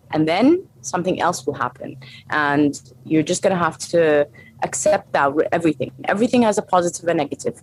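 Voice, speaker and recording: A woman from Saudi Arabia whose first language is Arabic, a fitness coach speaking as an interview guest in an animated, laughing way. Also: lowish voice, a little hiss or hum, rough recording. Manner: animated, laughs often, frequent restarts. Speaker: guest, strength and conditioning coach. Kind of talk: casual interview conversation.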